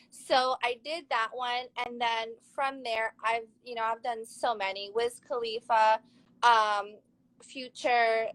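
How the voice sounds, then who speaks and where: A young woman from Serbia, speaking English, talks in a high, bright register. She is an upbeat, chatty interview guest who laughs easily and tells her story casually. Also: rough recording. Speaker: guest, model and actress.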